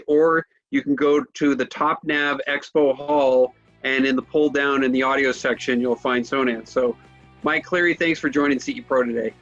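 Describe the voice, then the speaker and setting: A man's voice: mid-range voice, a bit bright, highs cut off. A man hosting a trade interview, introducing his guest and putting structured questions to him. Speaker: trade press interviewer and host.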